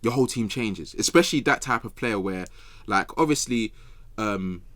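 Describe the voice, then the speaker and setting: A young man with a low voice and a London accent, recorded dry and close. He speaks in slangy, emphatic bursts, like someone arguing his case on a casual football podcast. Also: animated, moderate pace, a bit bright, no echo. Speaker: podcast guest pundit.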